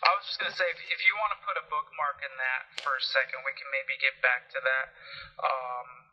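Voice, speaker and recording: A man calling in a complaint in an unscripted, measured and politely persistent way, on a recorded phone line. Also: mid-range voice, steady tone, slightly rough. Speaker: caller, consumer health advocate. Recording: muffled recorded phone call.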